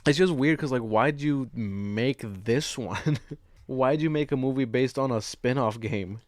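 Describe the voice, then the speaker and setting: A man's voice: mid-range voice, unhurried, no echo. Loose-talking man, casual and profane. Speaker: podcast co-host. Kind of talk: casual chat, film discussion.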